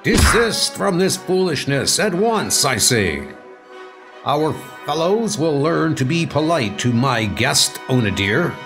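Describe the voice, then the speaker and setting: Man acting ceremonial Viking elder guardian, grandiose, formal, measured pace, sweeping intonation, music behind. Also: on the high side, very animated, full character voice. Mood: stern. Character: Onadir, solemn ceremonial Viking guardian of ancient flame. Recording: music under the voice.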